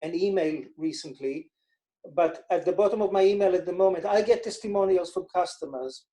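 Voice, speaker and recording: A man's voice: high-pitched, brisk, dark tone. A man from Israel with an Israeli accent, a financial advisor explaining his methods in a casual interview and repeating words for emphasis.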